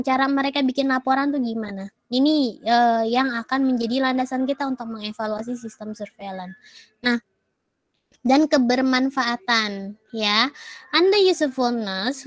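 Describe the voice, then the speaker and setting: A high-pitched woman talks through her lecture in a loose, chatty way, mixing English terms in among many fillers. She is getting over a cough, and her voice sounds dry and close to the mic. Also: no room sound. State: coughing, recovering from a cold. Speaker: university lecturer. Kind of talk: casual online lecture.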